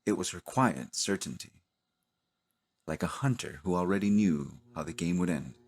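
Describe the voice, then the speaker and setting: A man narrating a cinematic audio drama in a solemn, dramatic manner with deliberate pauses. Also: sits low. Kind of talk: slow cinematic scripted narration.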